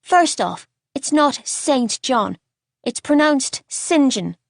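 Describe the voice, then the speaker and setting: A woman voicing a defensive young Irish boy in an audiobook, with a gruff, scornful manner. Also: on the high side, very animated, a little slow, dry recording, studio quality.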